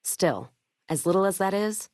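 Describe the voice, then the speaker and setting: A woman playing a hard-drinking, sharp-tongued American caravan owner in a post-apocalyptic western game, with blunt, sardonic, folksy profanity. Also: medium pitch, animated, moderate pace, no echo, clean studio sound, lightly acted. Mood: defiant, irritated. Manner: blunt, sardonic, profane frontier drawl with folksy turns of phrase. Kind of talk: video game character dialogue.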